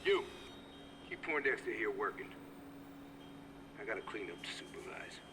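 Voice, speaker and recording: Low-voiced threatening male hired gun, acted. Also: animated, normal speed, natural voice. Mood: menacing. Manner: curt, intimidating. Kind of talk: acted video game dialogue. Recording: clear noise behind the voice.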